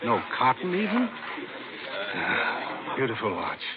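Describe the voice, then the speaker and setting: A man's voice: medium pitch, wildly swooping pitch, slow pace. An American man playing a wry, picky veteran police detective in a 1950s radio drama. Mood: chatty, picky. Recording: muffled old radio recording, crowd murmur.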